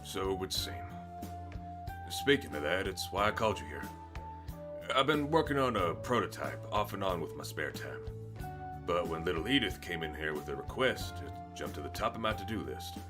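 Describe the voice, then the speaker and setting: Man as older male blacksmith, low lively voice, gruff but helpful, fantasy audio drama, music behind. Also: moderate pace. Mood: earnest, explaining. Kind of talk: audio roleplay acting.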